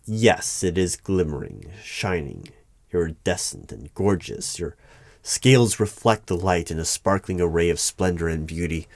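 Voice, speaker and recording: A man playing a formal, easily flustered hiring manager in an ASMR roleplay, speaking in measured, halting phrases. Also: low-pitched, dry recording. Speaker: performer of a one-sided roleplay. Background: computer keyboard typing.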